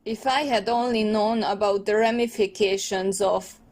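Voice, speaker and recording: A woman's voice: medium pitch, steady tone, moderate pace, a little mellow, close to an everyday voice. A woman voicing an anxious, wry male teenage tech genius for a comic dub, talking in a wordy, pedantic way. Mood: wry, rambling. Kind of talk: comic dub character acting.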